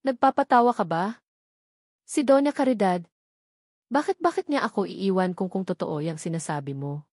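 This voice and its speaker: A woman's voice: mid-range voice, lively intonation, normal speed, long pauses, a little mellow, no room sound, studio quality. A woman voicing a wounded, doubting young working-class man in an audio drama. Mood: disbelieving. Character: hurt, distrustful young working-class man of twenty-five.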